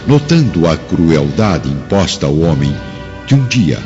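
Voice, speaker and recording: Low-voiced, solemn male narrator. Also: big pitch swings, a little slow. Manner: solemn, literary. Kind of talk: scripted dramatic narration. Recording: dull recording over music.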